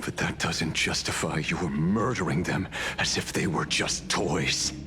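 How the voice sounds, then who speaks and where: An actor playing a world-weary, middle-aged detective, grim and resigned, in a dramatic game. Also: male voice, deep voice, a little slow, pushed and bright. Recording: music behind the voice.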